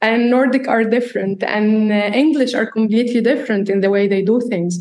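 A woman's voice: medium pitch, fairly even intonation, a little fast, a little mellow, roomy sound, low-quality recording. A woman whose first language is Arabic, answering interview questions in an earnest way, often restarting sentences and checking in with "right?". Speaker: interview guest.

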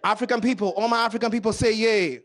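A man from Kenya doing stand-up comedy on a club stage, loud and animated, joking with the crowd. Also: high voice, quick pace, a little hiss or hum.

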